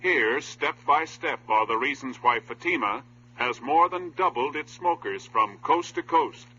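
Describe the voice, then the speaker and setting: 50s radio announcer guy, punchy bright voice, formal, muffled w/ faint hiss. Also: mid-range voice, lively intonation, measured pace. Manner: formal, emphatic. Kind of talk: 1950s scripted radio announcing.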